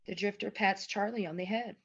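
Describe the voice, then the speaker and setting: A woman's voice: mid-range voice, fairly quick, breathy edge. A woman reading stage directions as narrator at a table read, recorded over a lo-fi video call. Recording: dull lo-fi video call audio.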